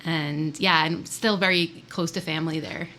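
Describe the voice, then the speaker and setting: A woman whose first language is Swiss German talks as an interview guest in a bright, somewhat low voice. She is chatty and upbeat, and she scatters fillers as she speaks. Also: fairly even intonation, moderate pace, a little hiss or hum. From born in Switzerland, raised in the US. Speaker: wildlife biologist guest. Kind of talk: casual podcast interview.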